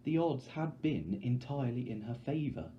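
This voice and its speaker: A man's voice: medium pitch, lively intonation, moderate pace. A man reading a story aloud as scripted, dramatic narration. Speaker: audiobook reader, teacher. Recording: dull recording with light background noise.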